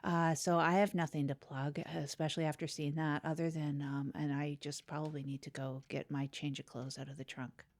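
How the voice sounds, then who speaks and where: A woman playing an awkward, spooked male host on an improvised comedy podcast, hesitant and deadpan. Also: low-pitched, normal speed, few pauses, lightly acted.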